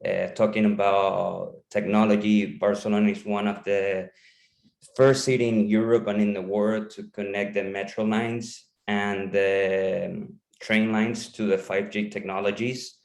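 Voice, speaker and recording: A man's voice: lowish voice, flat delivery, unhurried, creaky, darkish tone, reverberant. A man from Panama presenting his city over a video call, in an enthusiastic, loose and conversational way. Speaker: campus representative.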